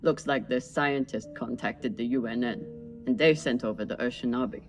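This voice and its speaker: A woman's voice: very deep voice, normal speed, distant mic. Rich: a blunt, hard-edged captain from a video game. She speaks in short, grim lines. Her voice sounds set back from the mic, with game music faintly underneath. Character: tough female ship captain.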